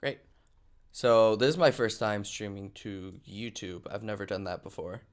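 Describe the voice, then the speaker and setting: A man's voice: lowish voice, moderate pace. A man chatting casually as the host of a group live stream.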